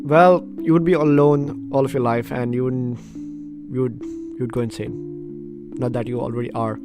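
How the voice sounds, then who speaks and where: A gaming host giving casual, animated playthrough commentary and reading game text aloud, with guitar music behind. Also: mid-range voice, moderate pace. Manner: animated, chatty reactions to the game.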